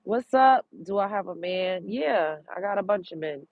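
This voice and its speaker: A woman's voice: mid-range voice, expressive, normal speed, dry recording, narrow, tinny audio. A congested woman from Brooklyn, chatting bluntly and profanely on a live stream, outdoors on a street, reading viewer comments. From Brooklyn, New York.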